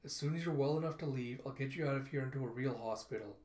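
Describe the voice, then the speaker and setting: A man's voice: medium pitch, fast talker, a little mellow, reverberant, lightly acted. A man playing a protective, worried man in dramatic storytelling dialogue, pleading with an old companion. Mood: tender, worried.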